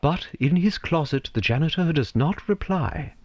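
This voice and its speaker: A man narrating a scripted story theatrically, with dramatic, deliberate phrasing and pauses. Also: a little high, animated, muffled recording, slight background noise.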